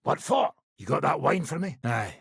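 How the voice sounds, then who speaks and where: Casting: brash middle-aged medieval mercenary lord, very gravelly, wildly animated delivery, sardonic soldier for a game. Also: male voice, fairly high pitch, normal speed, no echo, clean studio sound, full character voice. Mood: sardonic, gruff. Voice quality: raspy, gravelly. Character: gruff, swaggering middle-aged mercenary nobleman, foul-mouthed medieval soldier. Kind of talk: video game voice acting.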